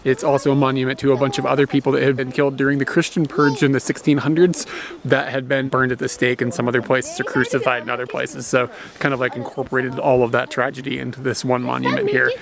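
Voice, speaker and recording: Gravelly-voiced laid-back man outdoors. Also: medium pitch, level tone, fast, a crowd in the background. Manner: laid-back, rambling with fillers. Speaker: travel vlogger. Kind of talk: casual travel vlog talk. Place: outdoors at volcanic hot springs. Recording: outdoor noise, steam vents. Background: hissing steam vents.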